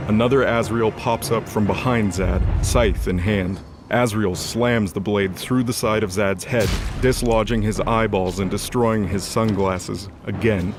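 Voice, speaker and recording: A man with a fairly low voice narrates a fantasy audio drama. He reads scripted action in a dramatic storytelling style, with music clearly underneath.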